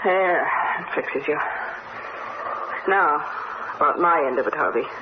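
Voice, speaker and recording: An actress playing a brisk, stern, impatient woman who commands refugee survivors in a 1950s radio drama. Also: low voice. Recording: tinny, noisy 1950s radio recording, roomy.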